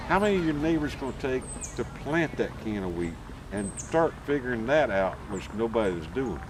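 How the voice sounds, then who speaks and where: A man's voice: mid-range voice, big pitch swings, brisk. A man with a Southern accent, an author talking loosely as an interview guest, full of homespun sayings. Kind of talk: casual interview chat. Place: outdoors at a rural gathering. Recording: noisy outdoor field recording.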